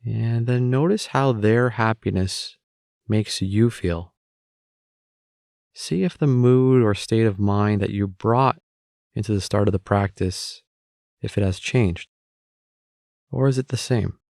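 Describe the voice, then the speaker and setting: A man guiding a meditation with calm, measured, gentle spoken instructions. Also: on the low side, gravelly, no echo, clean studio sound. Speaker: meditation guide.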